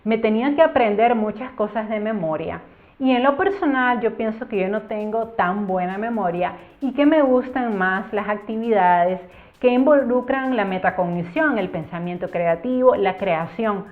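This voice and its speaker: A woman's voice: medium pitch, moderate pace. A young woman from Cuba telling her own story in a casual, earnest storytime vlog, stretching words for emphasis. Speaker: YouTuber. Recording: tinny phone-like sound with faint music.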